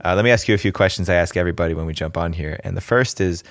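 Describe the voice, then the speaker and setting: A man's voice: low-pitched, rapid-fire, no room sound, clean studio sound. A man from New Jersey hosting a podcast interview, upbeat and brotherly, laughing often. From New Jersey, USA. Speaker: podcast host.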